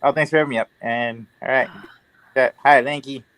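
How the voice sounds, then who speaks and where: A man's voice: medium pitch, steady tone, a little fast, fairly bright tone, low-quality recording. A man speaking as a guest in a casual livestream chat, rambling and circling around his point.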